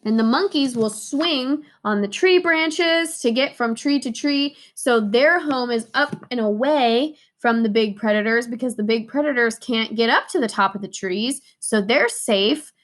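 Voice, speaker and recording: A woman's voice: a little high, a little slow, hardly any pauses, a bit bright. A woman teacher reading a picture book aloud to young children and explaining it in simple, emphatic, playful phrases.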